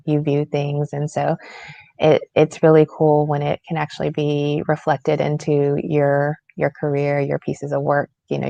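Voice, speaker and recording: A woman's voice: sits low, gravelly, a little mellow, no echo, dull audio. A woman moderating a festival Q&A, asking prepared questions in a friendly way over a video call. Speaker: interviewer.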